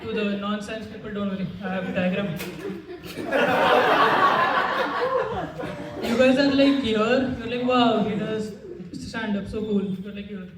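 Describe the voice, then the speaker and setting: A man's voice: sits high, lively intonation, takes it slowly, long pauses, a loud crowd. Stammering young man doing stand-up. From India. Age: young adult. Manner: stammers, awkward self-deprecating delivery. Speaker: stand-up comedian. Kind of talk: stand-up set. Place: comedy club stage with audience. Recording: roomy, distant mic with noise.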